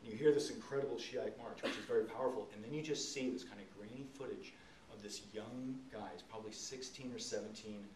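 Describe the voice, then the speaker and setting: A middle-aged man with a fairly low voice gives an unscripted talk. He explains earnestly and emphatically, pausing as he searches for phrasing. The sound is roomy and picked up from some distance, with faint noise behind it. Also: a little mellow. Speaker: author giving a talk. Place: indoor event room, seated on stage.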